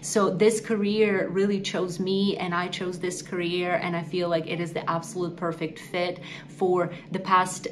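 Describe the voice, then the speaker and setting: A woman's voice: lowish voice, level tone, measured pace, few pauses, a little hiss or hum. A woman, speaking earnestly and articulately to camera in a prepared interview. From Ukraine. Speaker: nonprofit executive. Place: indoor office against a wall.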